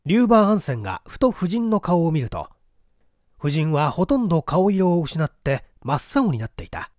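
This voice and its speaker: A man's voice: fairly high pitch, very animated, moderate pace, darkish tone, dry recording, studio quality. A man reading an old mystery novel aloud as dramatic, theatrical narration. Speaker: audiobook reader.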